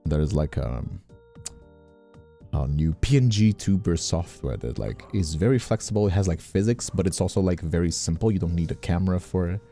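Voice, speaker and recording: Male streamer, low and very dark mellow voice, animated rambling delivery, music behind. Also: normal speed. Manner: excitable, rambling, interrupts. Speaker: streamer and voice actor. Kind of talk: casual livestream chat.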